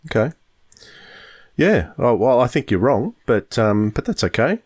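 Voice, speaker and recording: A man co-hosting a podcast in casual review chat, talking in a measured way and often restarting his phrases. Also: medium pitch, big pitch swings, a little mellow, breathy edge. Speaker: podcast co-host.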